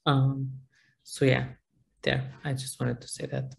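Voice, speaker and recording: A man whose first language is Macedonian speaks in English during an online class discussion, pausing often and searching for words. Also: mid-range voice, completely flat delivery, creaky. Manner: hesitant, searching for words, many fillers.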